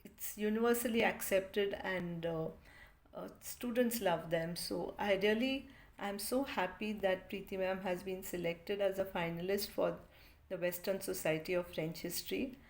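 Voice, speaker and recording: A woman's voice: medium pitch, a little slow. A woman French teacher giving an appreciative testimonial with frequent fillers. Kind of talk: recorded testimonial.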